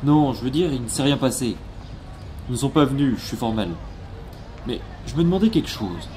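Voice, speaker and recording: A man's voice: medium pitch, animated, fairly quick, a little mellow, lightly acted. An actor playing a nervous, deferential male informant in a French audio drama, hesitant and colloquial, eager to explain himself. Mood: nervous, sheepish. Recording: noisy outdoor street ambience.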